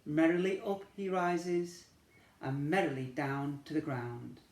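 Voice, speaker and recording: A male teacher reads a picture book aloud to young children. His pace is slow and gentle, and he breaks off now and then for asides to his listeners. The recording has a roomy, echoey sound. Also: a little high.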